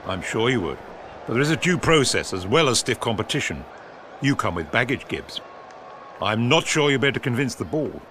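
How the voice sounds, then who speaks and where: An actor playing a gruff, opinionated senior government official in a period audio drama, clipped, dry and authoritative. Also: male voice, mid-range voice, sing-song, fairly quick, pushed and bright. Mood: brisk, cautioning. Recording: outdoor sports crowd and noise behind.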